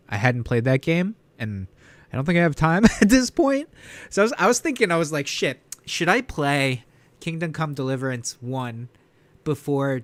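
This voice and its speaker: A man's voice: medium pitch, no room sound. A man live streaming from home, chatting casually with a rambling, profane, laid-back manner and a congested, sniffly nose.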